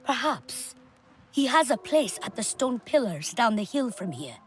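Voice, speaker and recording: A woman's voice: on the high side, very animated, a little slow, slight background noise. A woman playing a worried but bold Na'vi huntress in a dramatic game cutscene, with grave, formal phrasing. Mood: firm, instructing.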